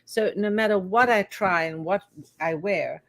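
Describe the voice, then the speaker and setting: An older woman with a South African accent, a perfumer being interviewed on a talk show, telling her stories with animated quoted voices. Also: mid-range voice, unhurried. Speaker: guest, perfumer.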